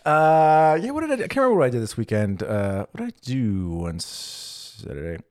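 A young man co-hosting a casual chat podcast, telling animated stories and acting out what others said. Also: mid-range voice, fairly quick, no room sound, clean studio sound. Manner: animated storyteller, acts out quotes. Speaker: podcast co-host. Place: living in Germany.

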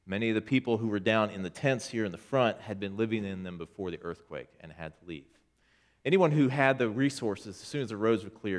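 Male church elder, deep low voice, casual slideshow report with many restarts. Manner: conversational, with many restarts, pointing at photos. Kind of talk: informal presentation to a congregation.

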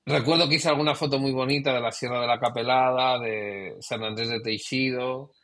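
A man's voice: mid-range voice, fairly even intonation, normal speed, a bit bright. A middle-aged man from Spain, a professional photographer, talking reflectively about his career in an interview, with trailing restarts. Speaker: guest photographer. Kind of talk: conversational interview.

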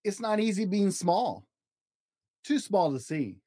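A man hosting a storytime show and reading a children's book aloud expressively, in deliberate short phrases with an encouraging manner. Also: sits high, very animated, warm tone, dry recording, studio quality. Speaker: podcast host reading picture books aloud.

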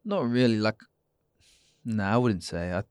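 A young man with a very low, smooth voice answers questions as a podcast guest. He speaks in a relaxed, easygoing way, full of fillers. The sound is dry and close. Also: unhurried, no room sound. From New Zealand. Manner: relaxed, many fillers, laughs easily. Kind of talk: casual interview chat.

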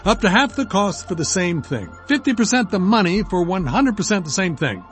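Casting: American male ad spokesman, lively intonation, light music bed. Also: high-pitched, fairly quick. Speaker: commercial spokesman. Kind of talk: scripted ad read.

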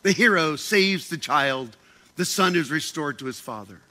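Male speaker for a group talk: playful and self-mocking, bright and sharp in tone, stumbles and repeats as he goes. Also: on the high side, animated, moderate pace. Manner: playful, joking, often repeats and restarts words. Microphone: handheld microphone.

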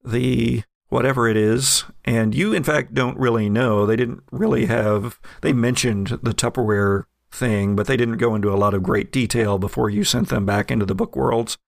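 Gravelly male game master, dry close sound, descriptive narration with fillers. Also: mid-range voice, steady tone, moderate pace, hardly any pauses, dry recording.

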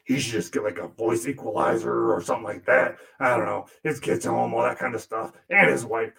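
A man's voice: mid-range voice, brisk, creaky, a bit bright. A man voicing a crude, sarcastic male demon puppet who grumbles and swears through a comic monologue.

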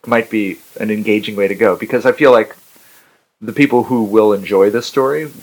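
A man, a guest poet on a podcast, talking in a halting, self-mocking way with a voice roughened and gravelly after a rough week. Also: on the low side, rough recording. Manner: dry self-mocking humor, halting with fillers. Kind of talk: casual podcast chat.

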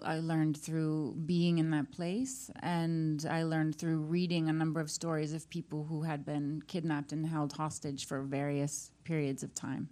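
A woman's voice: low-pitched, dark tone. A woman, an actress, answering questions at a screening Q and A in an earnest way. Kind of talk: post-screening Q and A.

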